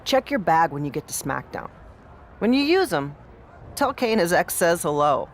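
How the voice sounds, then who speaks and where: An actor playing an encouraging but scheming wrestling adviser in a scripted video game cutscene. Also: male voice, fairly high pitch, sing-song, normal speed, a little hiss or hum. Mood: conspiratorial.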